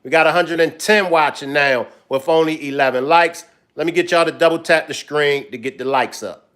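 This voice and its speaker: A man hosts a live stream, chatting loosely with his audience. His voice sits a little high. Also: normal speed, a bit bright, clean tone. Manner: loose, chatty, talks straight to viewers. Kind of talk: casual live-stream commentary.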